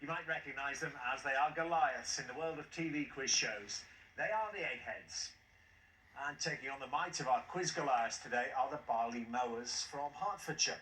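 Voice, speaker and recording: A man fronting a TV quiz with a bright, forceful voice and a jovial, chuckling manner. He sits back from the mic, so the studio's echo comes through clearly under a faint hiss. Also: medium pitch, normal speed, distant mic. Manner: jovial, chuckles at contestants' replies. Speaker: quiz show host. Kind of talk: TV quiz hosting.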